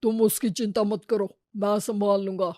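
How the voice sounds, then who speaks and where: A woman voicing a worried young man in love in a cartoon, speaking low and fretfully. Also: flat delivery, moderate pace, a little mellow, no echo, clean studio sound, lightly acted.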